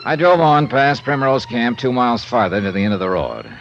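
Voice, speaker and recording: An actor playing a wry, easygoing insurance investigator who narrates in a dry, laconic first person, in a 1950s radio drama. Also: male voice, medium pitch, expressive, fairly quick, slight background noise, close to an everyday voice. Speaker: narrator and lead. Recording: dull old radio broadcast with music.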